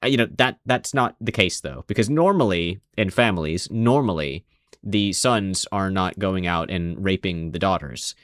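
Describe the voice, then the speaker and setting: Male commentator, low-ish voice, dry close sound, rambling and sarcastic. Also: lively intonation, normal speed, a bit bright, no room sound. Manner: rambling, sarcastic, many restarts. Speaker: video commentator. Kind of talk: casual commentary over video clips.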